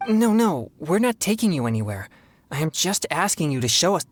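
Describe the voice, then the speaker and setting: Polite young exorcist, noisy backdrop. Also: male voice, fairly high pitch, very animated. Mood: anxious, apologetic. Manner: formal, courteous, measured. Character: earnest, polite young exorcist man, self-controlled and sheltered. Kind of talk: video game voice acting.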